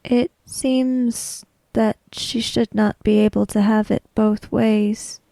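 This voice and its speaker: Woman playing a slow, creaky-voiced, formal samurai-era woman. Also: fairly high pitch, level tone, dark tone, no echo, low-quality recording. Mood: quietly challenging. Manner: measured, formal diction without contractions. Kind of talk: in-character tabletop roleplay.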